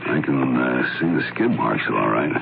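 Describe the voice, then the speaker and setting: Casting weary skeptical cop, extremely low lively voice, wry, vintage radio play. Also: male voice, normal speed, vocal fry, full character voice. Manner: wry, casual. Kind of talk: 1970s radio drama acting. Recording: narrowband, hissy old radio broadcast.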